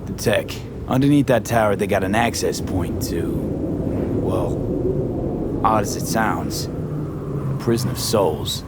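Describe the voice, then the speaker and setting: An actor playing a gravely ill young male mercenary in a video game, weary but stubborn, terse and clipped in a low, breathy voice. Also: slow, pauses often, heavy background noise. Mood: urgent, serious. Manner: terse, clipped street slang. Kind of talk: naturalistic video game acting. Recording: loud music over dialogue. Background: loud music.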